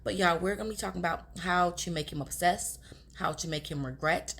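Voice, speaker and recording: A young woman with a raspy, hoarse voice, chatting casually to her viewers about relationships. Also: fairly low pitch, a little fast, fairly bright tone. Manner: chatty, confiding, addresses viewers as girls. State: hoarse from talking all day. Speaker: relationship advice vlogger. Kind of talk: casual advice talk to camera.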